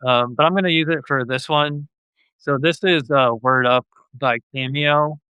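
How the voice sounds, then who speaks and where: Bright, clear-voiced man hosting casually. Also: a little high, normal speed, no room sound, highs cut off. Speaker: podcast host. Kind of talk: casual chat about music.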